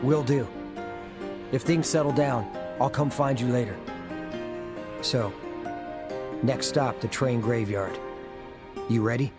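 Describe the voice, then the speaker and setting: A man playing a cool, serious, terse warrior guide in an animated game cutscene. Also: mid-range voice, takes it slowly, long pauses, over loud music, lightly acted. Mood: calm.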